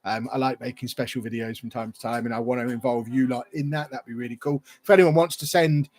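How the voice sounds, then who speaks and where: A man's voice: medium pitch, fairly even intonation, fast talker, a little mellow. Chatty man on a livestream. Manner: chatty, rambling, reads viewer questions aloud. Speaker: YouTuber hosting a livestream Q&A. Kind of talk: casual livestream chat.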